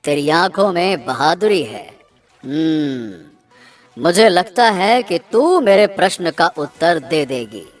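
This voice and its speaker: Woman voicing a slow, low, mysterious cartoon swan. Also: lively intonation, faint music behind. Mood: impressed, testing. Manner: slow, deliberate, dramatic. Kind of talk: animated children's story dialogue.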